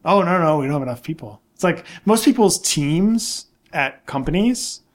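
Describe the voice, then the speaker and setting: A man's voice: a little high, animated, moderate pace. A man arguing casually on a podcast in an insistent, emphatic way, often repeating himself to press his point. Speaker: nonprofit director and podcast co-host. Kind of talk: casual debate, opinionated discussion.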